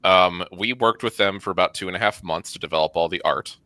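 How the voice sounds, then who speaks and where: A man with a low-pitched, strikingly bright and forceful voice answers questions casually as an interview guest. The recording is dry and free of echo. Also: a little fast. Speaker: guest, comics and art studio member.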